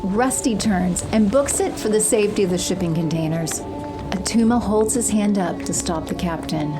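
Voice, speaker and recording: A woman's voice: mid-range voice, moderate pace, music in the background. A woman delivering scripted dramatic narration as the narrator of an audio story.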